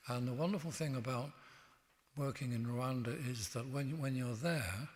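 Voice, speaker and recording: A man speaking as an interview guest in a church, reflective and halting, with frequent restarts. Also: mid-range voice, slight background noise. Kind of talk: reflective conversation. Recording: long church reverb.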